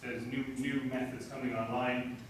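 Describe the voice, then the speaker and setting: A young Irish man giving an academic talk as a lecturer, relaxed and self-deprecating, with frequent fillers. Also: medium pitch, normal speed. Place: seminar room. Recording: echoey room from far off, noisy.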